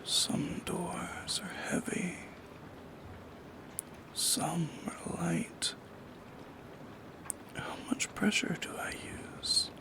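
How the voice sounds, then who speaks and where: Man whispering as a slow, shy shadow creature. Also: sits high, steady tone, exaggerated voice. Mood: anxious musing. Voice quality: breathy whisper with creaky edges. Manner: halting, soft-spoken, intimate, musing. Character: lonely, shy, yearning shadow creature lurking by the bed. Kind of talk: ASMR roleplay monologue.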